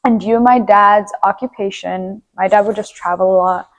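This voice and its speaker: A woman's voice: medium pitch, steady tone, moderate pace, low-quality recording. A young woman from Nepal, a native Armenian speaker, chatting casually as an interview guest, filling her talk with "like" and acting out her own past thoughts. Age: young adult. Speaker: guest, university student.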